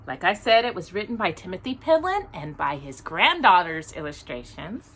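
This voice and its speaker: A woman hosting a children's storytime, reading aloud expressively in a deliberate storybook manner with casual asides. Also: lowish voice, slight background noise. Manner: animated, deliberate storybook delivery.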